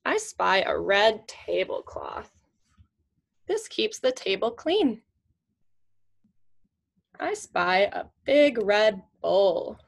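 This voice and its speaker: A woman's voice: mid-range voice, sing-song, extremely slow, gravelly, long pauses. A woman in her twenties reading a picture book aloud to children in simple, teacherly phrases with questions. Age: young adult, 20s. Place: small indoor room at home with shelves.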